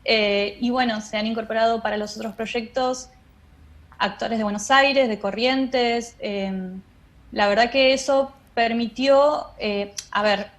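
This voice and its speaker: A woman's voice: a little high, fairly even intonation, a little slow. A woman with an Argentine accent explaining things as a guest in an interview. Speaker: interview guest, theatre director and drama teacher.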